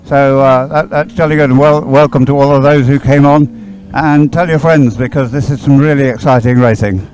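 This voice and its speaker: A man giving live race commentary, explaining things in a halting way. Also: mid-range voice, steady tone, a little mellow, poor audio. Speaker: sports commentator.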